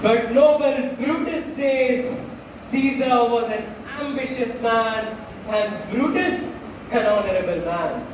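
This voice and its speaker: A young man playing a grieving, slyly persuasive Roman orator in a school stage play, declaiming with emphatic pauses. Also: very high-pitched. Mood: solemn, ironic. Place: school stage, recorded from audience distance. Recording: echoey hall, distant mic, noisy.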